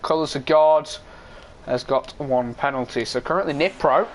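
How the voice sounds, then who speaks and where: A young man calls an online race in a loose, casual way, his voice hoarse and fading as he keeps clearing his throat. His voice sits a little high for a man. A faint hiss runs under the recording. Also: normal speed. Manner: casual, frequent throat clearing and filler ums. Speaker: race commentator. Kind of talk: esports race commentary.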